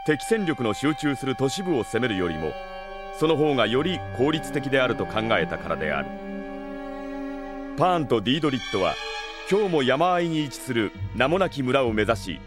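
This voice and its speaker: A man acting as the narrator of a fantasy saga in an audio drama. He tells it in scripted, storytelling phrases with clear music playing behind him. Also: medium pitch, close to an everyday voice.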